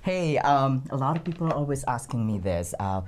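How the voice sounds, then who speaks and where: A man's voice: mid-range voice, expressive, fairly quick, slight background noise. A man hosting a studio interview, upbeat with showy greetings. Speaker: interview host.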